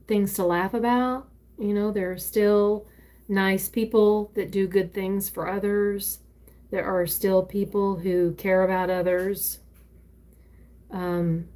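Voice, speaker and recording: A woman's voice: medium pitch, mellow. Older woman, author reading aloud, casual live chat, rambling, lots of ums, tablet mic. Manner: rambling, hesitant, many fillers and restarts. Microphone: tablet used for live streaming.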